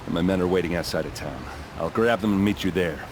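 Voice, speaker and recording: An actor playing a gruff, loyal soldier captain in a video game, talking bluntly and informally. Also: male voice, sits low, fast talker, background noise, natural voice. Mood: gruff, determined. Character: gruff, plain-spoken male soldier captain, loyal and ready. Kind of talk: video game cutscene acting.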